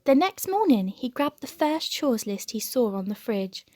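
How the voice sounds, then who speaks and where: A woman reading a children's storybook aloud as an expressive narrator. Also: fairly high pitch, normal speed, no room sound, studio quality. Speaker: storybook reader.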